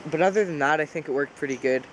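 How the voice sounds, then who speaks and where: A man's voice: a little high, very fast, slight background noise, rough recording. A man with a head cold casually demonstrating survival gear outdoors, rambling and correcting himself as he goes. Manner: relaxed, rambling, self-correcting. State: congested with a cold. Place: outdoors by a pond.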